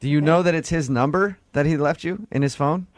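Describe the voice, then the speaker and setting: A man's voice: a little high, brisk. A man hosting a radio show, bantering casually in a teasing, wry way.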